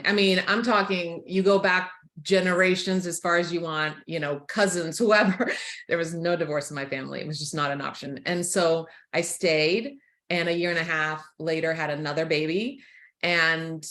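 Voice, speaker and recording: A woman in her forties from Croatia, telling her life story frankly as a podcast guest, laughing easily and going quiet on painful parts. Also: sits low. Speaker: guest, author and speaker. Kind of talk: candid personal storytelling. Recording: roomy echo.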